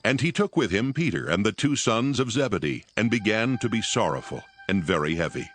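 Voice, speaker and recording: A man's voice: fairly low pitch, very animated, brisk, fairly bright tone. A man giving a scripted narration of scripture in archaic English. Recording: voice over prominent music.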